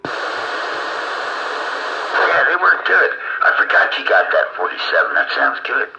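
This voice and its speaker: A man's voice: a little high, big pitch swings, measured pace, vocal fry. A man chatting casually as an operator over a two-way CB radio. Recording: muffled, very noisy CB radio transmission.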